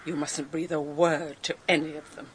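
An actress playing a proud, dutiful older British great-aunt with a brisk, no-nonsense manner, in a radio drama. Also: female voice, low-pitched, little pitch movement, a little hiss or hum, natural voice. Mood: grave, resolute. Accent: British English.

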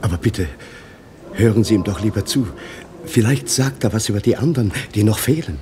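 A man playing an anxious elderly doctor in a German radio play, halting and insistent in his questioning. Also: lowish voice, lightly acted. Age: older. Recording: noisy radio play, ambience behind.

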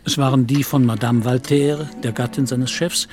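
A male narrator tells a literary story for an audio drama. His voice sits at a medium pitch and his delivery is measured and articulate. Music plays clearly behind him. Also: steady tone, a little mellow.

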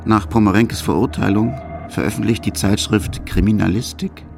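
A man's voice: lowish voice, steady tone, normal speed, vocal fry, music in the background. A man giving scripted narration for a radio documentary.

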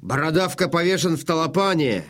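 A blunt old Cossack veteran in a radio play. His voice sits a little high for a man and is delivered theatrically. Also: lively intonation, brisk, a bit bright. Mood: grim. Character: blunt old Cossack comrade, grim news-bearer. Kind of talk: theatrical audio drama acting.

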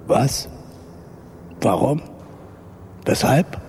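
An actor playing a huge, drowsy, grumpy old owl professor with a creaky, rasping, breathy voice in a children's radio play. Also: male voice, medium pitch, animated, mellow, a little hiss or hum. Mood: drowsy, startled. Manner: slow, drawn-out, muttering, hooting interjections.

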